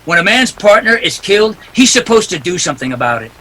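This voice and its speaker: A man's voice: high voice, sing-song, quick pace, pushed and bright, slight background noise, heavily acted, low-quality recording. A man acting a hard-boiled, unyielding private detective in 1940s radio drama style, tough and emphatic. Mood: hard, insistent.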